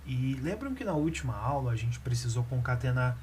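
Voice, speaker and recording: A man's voice: mid-range voice, a little fast, a little hiss or hum. Brazilian man teaching code over typing. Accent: Brazilian Portuguese. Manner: relaxed, hesitant explaining with fillers while typing. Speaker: programming instructor. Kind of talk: screen-recorded coding lesson.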